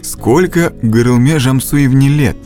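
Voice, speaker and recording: A man reading scripted narration for a language course, with slow, deliberate instructional delivery and a close studio mic. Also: mid-range voice, warm tone, soft background music.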